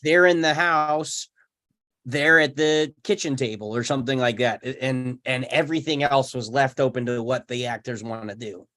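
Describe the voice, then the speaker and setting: A man's voice: mid-range voice, steady tone, normal speed, fairly bright tone. A man chatting casually in a group discussion, talking in an informal, colloquial way. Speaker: discussion participant. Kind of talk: casual chat.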